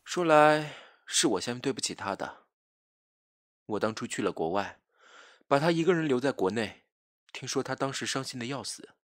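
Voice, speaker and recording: A man's voice: medium pitch, expressive, moderate pace, no echo, clean studio sound, close to an everyday voice. A man playing a jealous young ex-boyfriend with insincere sweetness and a pointed, theatrical delivery in an audio drama. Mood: sweetly wistful. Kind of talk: Chinese audio drama acting.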